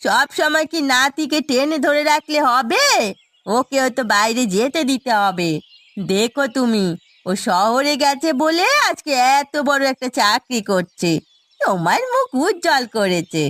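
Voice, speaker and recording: A woman's voice: high-pitched, expressive, unhurried, a bit bright, no echo. A woman voicing a caring but firm village neighbour in a cartoon, with a familiar, chiding manner. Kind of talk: Bengali cartoon voice acting.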